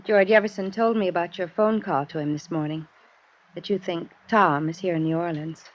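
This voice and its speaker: A woman's voice: on the low side, normal speed, lightly acted. An actress playing an anxious woman who is searching for her runaway husband in a 1950s radio drama. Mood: pleading. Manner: soft, pleading. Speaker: supporting character. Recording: dull old radio transcription.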